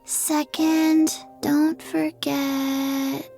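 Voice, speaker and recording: A little undead girl from a video game, speaking in a high voice and at a crawl. She is earnest and forgetful, halting and deadpan as she goes, with music playing behind her. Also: vocal fry, exaggerated voice. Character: small zombie girl, slow, earnest, forgetful. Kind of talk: scripted video game voice acting.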